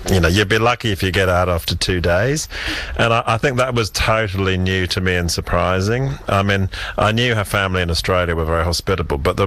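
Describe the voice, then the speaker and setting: A man's voice: deep voice, fairly bright tone, no room sound. A middle-aged man with an English accent, telling anecdotes in an articulate, enthusiastic way as an interview guest. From England. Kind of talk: conversational storytelling.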